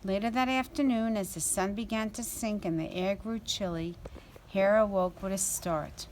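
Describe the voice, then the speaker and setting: A woman reading a children's story aloud as a storyteller, in an expressive, deliberate way. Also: mid-range voice, slight background noise, poor audio.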